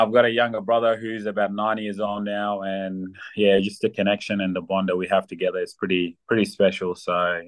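Deep-voiced young man, laid-back. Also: rough recording. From South Sudan. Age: young adult. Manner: relaxed, many ums and fillers. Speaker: guest athlete. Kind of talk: casual interview chat.

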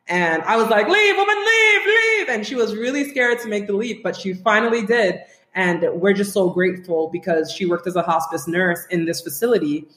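A woman from Trinidad and Tobago, raised in the US, talking as a guest in a casual podcast conversation, frank and emphatic. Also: lowish voice. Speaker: guest, writer. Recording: roomy echo.

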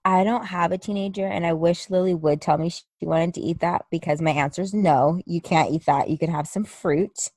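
A young woman co-hosting a podcast in casual chat, animated and blunt, cursing freely. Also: lowish voice, brisk, hardly any pauses, no echo, clean studio sound. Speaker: podcast co-host.

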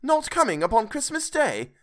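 A man voicing a poor, cheerful clerk and father, earnest and gentle. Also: high voice, huge pitch swings, a little slow, voice pushed up, heavily acted.

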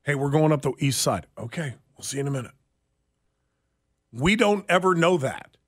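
A man's voice: fairly high pitch, lively intonation, normal speed, fairly bright tone. An American man hosting a radio talk show and taking calls, speaking into a close studio microphone.

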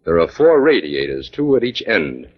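A skeptical scientist hero of 1940s radio mystery. His voice is deep and a little rough, with measured, dry authority. It comes through a dull vintage recording. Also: sing-song. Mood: calm, purposeful. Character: calm, skeptical male scientist detective, crisp and authoritative.

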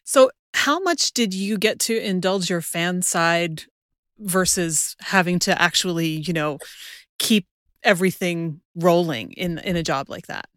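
A woman's voice: fairly low pitch, measured pace, fairly bright tone, no room sound, studio quality. A woman hosting a conversational interview, putting questions to her guest. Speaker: interviewer, podcast host.

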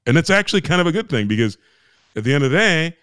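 A man's voice: a little high, very animated, fast talker, fairly bright tone, clean tone, no echo, studio quality. An American man co-hosting a podcast, ranting in casual chat, emphatic and repetitive, with restarts and swearing. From United States. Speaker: podcast co-host.

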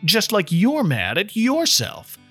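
A man's voice: high voice, wildly swooping pitch, takes it slowly, pushed and bright, breathy edge, faint music behind, voice pushed up, heavily acted. A man voicing an earnest, impatient trainee guardian angel who explains things with mild condescension in a storybook reading. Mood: frustrated. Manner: explanatory, mildly condescending toward humans.

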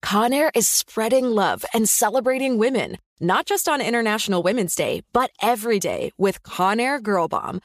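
A woman's voice: a little high, animated, normal speed, few pauses, a little hiss or hum. A woman presenting an ad with an upbeat, bubbly and encouraging read.

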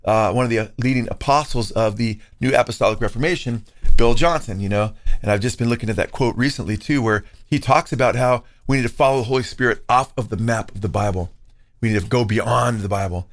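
A man's voice: medium pitch, fast. Chatty man citing scripture. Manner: conversational, quotes scripture with Greek terms. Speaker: co-host, pastor. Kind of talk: casual discussion. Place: California.

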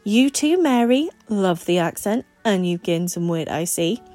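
A woman's voice: mid-range voice, expressive, measured pace, a little mellow, soft background music, lightly acted. A woman voicing a joking, sarcastic young man in an audiobook story. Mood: teasing, sarcastic.

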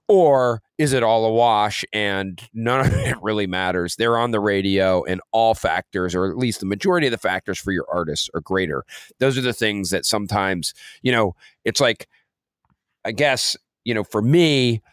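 A man with a somewhat low voice speaks as a podcast co-host. He is agreeable and conversational, reasoning through points as he talks. The recording is dry, with no room sound. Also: normal speed, clear, resonant tone, no room sound. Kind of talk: casual music industry discussion.

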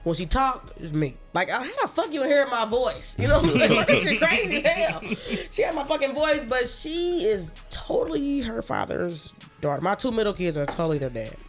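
A young woman with a raspy voice talks in a casual podcast conversation. She is blunt and profane, with a lively, wide-ranging pitch. Faint music plays underneath. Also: moderate pace, hardly any pauses. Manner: blunt, profane and animated, laughing often. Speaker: podcast guest. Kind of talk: casual chat.

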